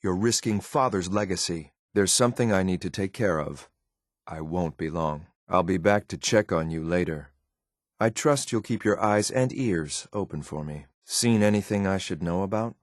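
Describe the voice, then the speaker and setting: A man voicing a cold, ruthless synthetic enforcer in a video game, with a clipped, deadpan, formal delivery. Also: low-pitched, moderate pace, no room sound, studio quality, close to an everyday voice. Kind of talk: scripted game companion voice lines.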